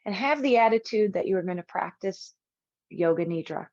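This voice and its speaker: A woman instructor leading a guided yoga relaxation, speaking in measured, soothing short phrases with long pauses. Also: medium pitch, lively intonation, darkish tone, no echo, lo-fi sound.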